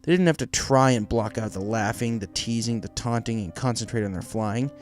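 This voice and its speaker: Male storybook narrator, mid-pitched voice, scripted reading with music underneath. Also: a little fast. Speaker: fan fiction reader.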